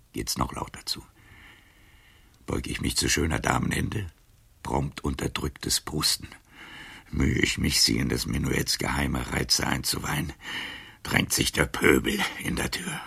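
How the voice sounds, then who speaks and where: Casting: proud, wounded old libertine for radio drama. Slow, rasping, theatrical declamation with whispers and outbursts. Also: male voice, extremely low pitch, bright, forceful tone, full character voice. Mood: indignant, ranting. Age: older. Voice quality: gravelly, husky, breaking into whispers. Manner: declamatory, swinging from muttering to outcries. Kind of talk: literary radio drama acting in verse.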